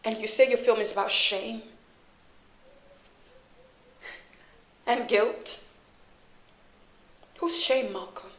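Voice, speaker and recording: A woman's voice: fairly high pitch, a little slow, close to an everyday voice. A woman performing a dramatic film monologue as a wounded, angry young woman who confronts her lover with intense, profane accusations. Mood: cold contempt. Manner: profane, accusatory, intense, swinging from hushed hurt to loud scorn. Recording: echoey room.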